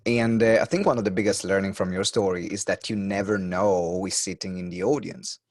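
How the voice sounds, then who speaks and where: A man's voice: sits low, fairly even intonation, fairly quick, no room sound, clean studio sound. A man hosting a business podcast interview, upbeat but hesitant, with many restarts. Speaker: podcast host.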